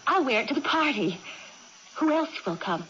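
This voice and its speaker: Headstrong young farm woman, merry and impulsive, very lively swooping delivery, muffled radio-play sound. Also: high voice, normal speed. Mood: impatient, eager. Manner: excitable, impulsive, impatient. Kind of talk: vintage radio drama acting. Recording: muffled noisy old radio broadcast.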